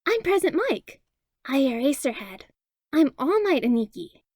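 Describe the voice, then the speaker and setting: A woman voicing an excited, cute five-year-old boy in a read-aloud story, chirping and squeaky, with simple childlike phrasing. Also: normal speed, no echo, studio quality. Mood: excited, proud. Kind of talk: storybook character voice.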